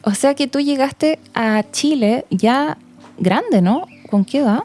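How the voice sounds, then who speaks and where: A woman's voice: sits high, animated, slow pace, darkish tone, faint music behind. A woman co-hosting a podcast, asking curious follow-up questions in a friendly, casual way. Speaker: podcast co-host, interviewer. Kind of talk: casual interview talk.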